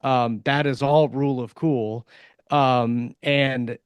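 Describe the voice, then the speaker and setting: A man's voice: medium pitch, steady tone, takes it slowly, clear, resonant tone, no room sound. A man co-hosting a podcast, chatting casually in an informal, rambling way. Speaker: podcast co-host and author.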